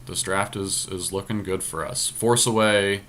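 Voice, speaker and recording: A man's voice: on the low side, fairly even intonation, a little slow, fairly bright tone. A man casually thinking aloud as he co-hosts a card draft, explaining his picks analytically. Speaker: podcast host and card game drafter. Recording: faint noise, amateur screen-capture recording.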